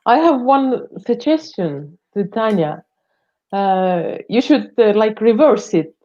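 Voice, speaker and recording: A woman joining a livestream call, speaking slowly and hesitantly with pauses mid-sentence. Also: a little high, lively intonation, dark tone. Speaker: livestream guest. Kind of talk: casual comment on a live call. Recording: muffled lo-fi call audio.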